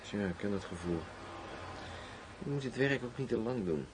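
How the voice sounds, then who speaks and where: A man's voice: lowish voice, animated, moderate pace, close to an everyday voice. Actor as terse, jaded male police detective. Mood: relaxed, jaded. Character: male undercover detective partner, matter-of-fact, slightly jaded. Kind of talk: Dutch radio drama acting. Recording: noisy radio play recording.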